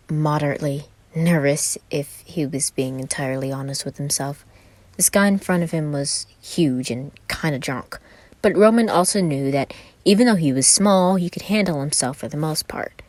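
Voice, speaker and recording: Low voiced girl doing podfic narration and casual intros, close dry recording. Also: a little mellow, dry recording. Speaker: podfic reader and channel host.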